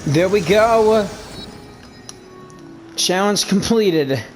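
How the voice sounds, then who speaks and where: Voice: mid-range voice, expressive, extremely slow, faint music behind. A gamer giving casual commentary over a playthrough, sometimes reading dialogue aloud.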